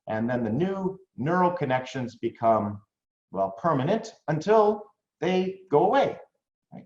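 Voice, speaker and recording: An American man delivering a coaching talk with a lively, swinging pitch. He talks conversationally to his audience, tossing in frequent questions. The audio is roomy and a bit dull, like a lo-fi room recording. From United States. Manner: animated, conversational, frequent "right?" tags. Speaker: business coach.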